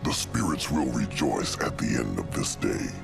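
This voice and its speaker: An extremely deep, heavily gravelled game warrior. He is solemn and spiritual, pronouncing words with ceremonious gravity over music. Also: lively intonation, normal speed, heavily acted. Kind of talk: video game character acting.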